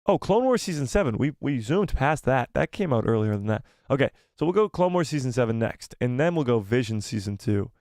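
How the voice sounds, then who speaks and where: A man's voice: medium pitch, sing-song, brisk, hardly any pauses, darkish tone, dry recording, studio quality. A man hosting a live fan stream, giving firm opinions, reading viewer replies aloud, and losing his voice. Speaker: host of a live fan show.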